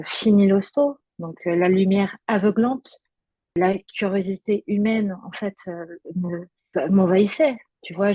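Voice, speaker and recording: A woman's voice: on the low side, darkish tone, husky, dry recording. A woman guest giving a personal testimony in conversation, hesitant, with many fillers and restarts.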